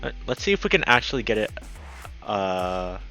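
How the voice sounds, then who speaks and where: A man with a bright, animated voice hosts a casual game livestream. He chats excitably with viewers as music plays behind him. His pitch moves around a lot. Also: normal speed. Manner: excitable, chatty, greets viewers by name. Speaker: game streamer and host. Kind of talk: casual livestream chat while playing a visual novel.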